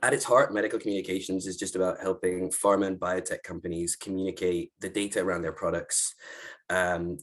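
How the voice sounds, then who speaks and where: Low-voiced man introducing himself. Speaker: freelance medical communications consultant, panelist. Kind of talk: explanatory self-introduction. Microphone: video call.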